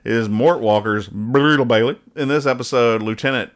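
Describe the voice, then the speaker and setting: Man, podcast host, casual comedic banter, wry mock-earnest explanations, mid-pitched voice. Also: moderate pace. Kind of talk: casual comedic banter, reading comic strips aloud.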